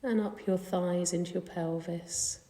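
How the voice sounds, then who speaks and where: Soothing lady doing guided meditation, lowish voice, lots of reverb. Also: steady tone. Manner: soothing, gentle, unhurried with long pauses. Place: indoor carpeted room. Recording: echoey room with long reverb.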